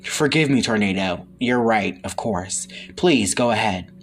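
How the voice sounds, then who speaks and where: A man playing a calm, courteous comic book superhero leader who speaks in a measured way. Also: fairly low pitch, slight background noise. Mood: apologetic.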